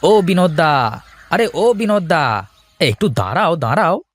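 A man's voice: a little high, sing-song, unhurried, fairly bright tone, heavily acted. A man voicing an eager, familiar village neighbour in a cartoon folk tale, with a colloquial, calling delivery. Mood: hailing.

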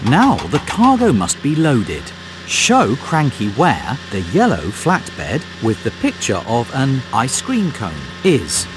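A man narrating a children's game in a scripted, encouraging, clearly enunciated storytelling manner. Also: medium pitch, wildly swooping pitch, slow pace. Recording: music mixed under the voice. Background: music.

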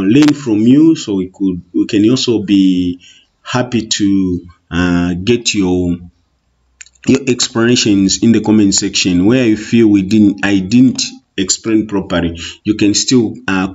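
A man's voice: on the low side, a little mellow, breathy edge. A Zambian man with a Tonga-influenced English accent, a Bemba speaker, talking through an exam paper in an online lesson, explaining patiently and repeating "okay" often. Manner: explains slowly, repeats with many okays. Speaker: teacher. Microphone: voice-over on screen recording. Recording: muffled lo-fi recording.

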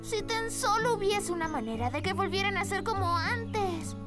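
A sweet, encouraging little cartoon girl with a very high voice. Her speech swings up and down with lots of life, yet stays patient and reassuring. Children's music plays behind her. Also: animated, moderate pace. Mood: gently apologetic. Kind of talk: dubbed children's cartoon acting.

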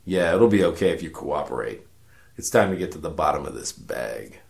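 A world-weary private eye acted by a man narrates a noir parody radio drama. The voice is low with a heavy creak, and the delivery is wry, deadpan and full of self-importance. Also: a little fast, full character voice. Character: cynical world-weary hard-boiled male detective narrating his case.

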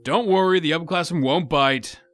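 A man voicing a cheerful, orderly high school teacher who announces and encourages, in an animated roleplay. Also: a little fast, soft background music, natural voice. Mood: cheerful, instructing.

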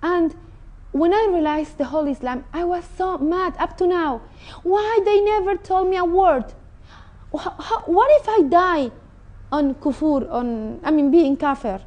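A woman's voice: very high-pitched, measured pace, darkish tone, muffled recording. A middle-aged woman from Mexico with a Mexican Spanish accent, an interview guest speaking earnestly and with feeling about her own story, recorded on a lapel mic in a TV studio. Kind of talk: personal testimony. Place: indoor TV studio set.

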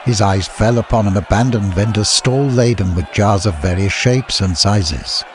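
A man reading a scripted story as an audiobook narrator, with a husky voice. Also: on the low side, moderate pace, slight background noise.

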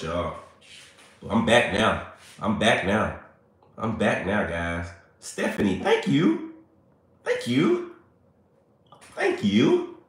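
A man, chatting playfully with viewers on a livestream, a little hoarse and congested. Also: low-pitched, a bit bright. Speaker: livestream host. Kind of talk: casual livestream chat with viewers. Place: small bright room indoors. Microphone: phone at arm's length. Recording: very echoey room.

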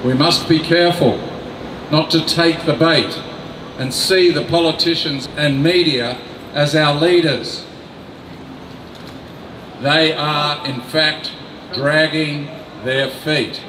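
An older man reading a prepared speech at an outdoor rally into a mic on a stand, in a slow, deliberate, emphatic way. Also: a little high, background noise. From Australia. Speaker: rally speaker. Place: outdoor city square. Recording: echoey outdoor sound from a distant camera.

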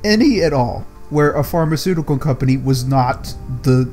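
A man's voice: medium pitch, expressive, normal speed, a little mellow. Wry man chatting over faint music. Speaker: podcast panelist. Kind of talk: casual joking chat.

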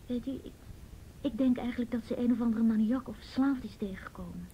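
A woman playing a reluctant, halting teenage schoolgirl in a radio drama. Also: lightly acted. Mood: guarded. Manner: halting, hesitant, guarded. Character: hesitant, reluctant teenage schoolgirl, grieving her murdered friend. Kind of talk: Dutch radio drama acting. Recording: dull old radio recording, faint rumbly noise.

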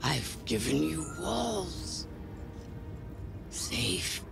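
An actor playing a solemn, world-weary alien guardian in a video game cutscene, with a gravelly, breathy voice and halting, sparse phrasing. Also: mid-range voice, sing-song, full character voice. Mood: grave warning. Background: music.

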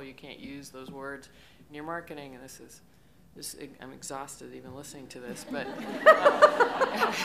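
A woman panelist talking in an animated, rambling way with bursts of laughter, on a conference stage at a table microphone. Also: low-pitched. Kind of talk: panel discussion.

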